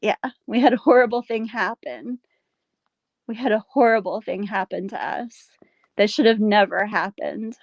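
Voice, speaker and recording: A middle-aged woman speaks in a casual conversation, her voice sitting at an ordinary pitch. She talks haltingly and with feeling, pausing and sighing. The sound is dry and close. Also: fairly even intonation, dry recording, dull audio. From Pennsylvania, USA. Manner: emotional, halting, soft sighs. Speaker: guest, local resident.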